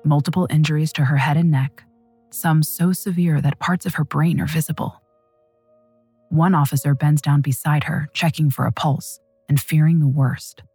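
A woman's voice: deep voice, steady tone, normal speed, dark tone, breathy edge, no echo, faint music behind. A woman hosting a true crime podcast, reading a scripted, dramatic story.

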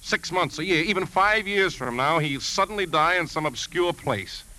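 Earnest, determined worried uncle. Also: male voice, fairly high pitch, animated, moderate pace, pushed and bright, a little hiss or hum, low-quality recording. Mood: urgent, accusing. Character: earnest, determined uncle protecting his nephew. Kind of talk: 1950s radio drama acting and narration. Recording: old 1950s radio, music behind.

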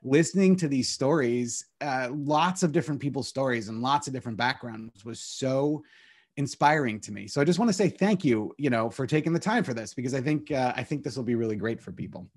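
A man's voice: mid-range voice, animated, a little mellow. A man hosting a podcast interview as head coach, talking casually with lots of fillers. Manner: friendly, filler-heavy, quick.

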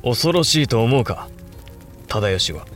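A brooding samurai lord with a mid-pitched male voice. He speaks with grave, deliberate authority, as in a historical radio play, with music underneath. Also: big pitch swings, fairly bright tone. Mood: resolute. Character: brooding, resolute samurai lord and elder brother. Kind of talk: Japanese period audio drama acting.

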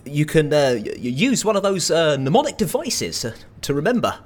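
An actress playing an awkward, eager-to-please petrol station clerk who chatters hesitantly in an audio drama. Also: female voice, low voice, big pitch swings, normal speed, darkish tone. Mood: awkwardly helpful. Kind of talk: naturalistic audio drama acting.

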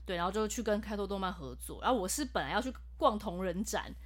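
A woman voice actor, explaining her work in a casual podcast chat. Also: medium pitch. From Taiwan. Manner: fast, explanatory and animated.

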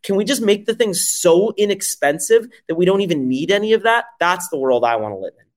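Guy from france pitching his startup, super animated, swears a lot, talks quick. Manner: emphatic, profane, rhetorical questions. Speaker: startup founder guest. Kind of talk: energetic interview pitch.

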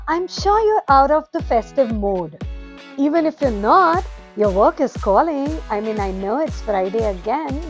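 A woman with an Indian accent hosting a podcast in a chatty, informal way, slipping Hindi words into English. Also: high voice. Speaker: podcast host. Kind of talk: casual scripted podcast hosting.

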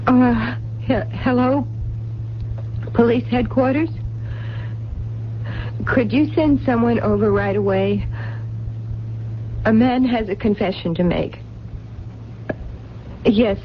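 An actress playing a sorrowful, anxious young waitress in a radio drama, hesitant and tender. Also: female voice, on the high side, takes it slowly, pauses often. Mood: reluctant, sad. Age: young adult. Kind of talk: 1970s radio drama acting. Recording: muffled vintage radio broadcast, faint hum.

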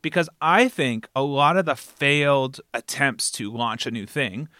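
A man's voice: medium pitch, unhurried, no room sound, studio quality. A man hosting a casual conversational interview, often checking in with the listener mid-thought. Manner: animated rhetorical check-ins, often ending phrases with "right?". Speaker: podcast interviewer and fiction podcast creator.

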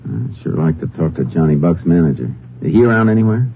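An actor playing a steady, serious male Texas Ranger in an old radio crime drama, measured and matter-of-fact. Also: sits low, slight background noise. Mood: serious, probing. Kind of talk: 1950s radio crime drama acting and narration. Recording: muffled old radio recording, faint music.

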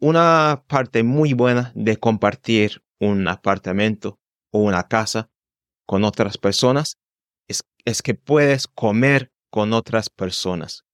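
A man's voice: on the low side, slow pace, clean tone, dry recording, clean studio sound. A man in his twenties hosting a solo podcast, speaking careful, unscripted learner's Spanish with small restarts. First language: English. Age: 20s. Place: home in London.

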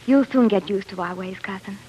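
An actress in a 1940s radio drama playing a gentle, devoted young lady of eighteenth-century London. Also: medium pitch, brisk, muffled recording, a little hiss or hum, lightly acted. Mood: kindly.

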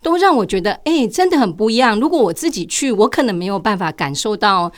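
A woman's voice: mid-range voice, animated, fast. An older retired woman with a Taiwanese Mandarin accent, answering interview questions conversationally as a guest and sharing her own experiences.

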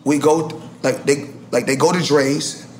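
A man's voice: a little high, steady tone, normal speed, a little hiss or hum. A man, talking casually and boastfully, rambling with many restarts. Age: 40s. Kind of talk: casual podcast chat.

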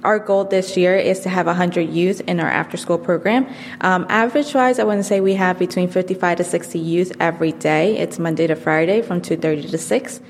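Program coordinator lady, lowish gravelly voice, says um a lot, echoey room. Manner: measured, with frequent "um" fillers. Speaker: after-school program coordinator. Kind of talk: interview answers. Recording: long hall reverb, faint noise.

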